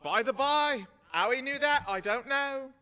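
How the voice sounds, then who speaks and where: Radio play dad played by a man, grieving yet gentle, bright earnest delivery, long reverb. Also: extremely high pitch, clear, resonant tone, full character voice. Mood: earnest, halting. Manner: tender, halting, earnest. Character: gentle, grieving, loving working-class father.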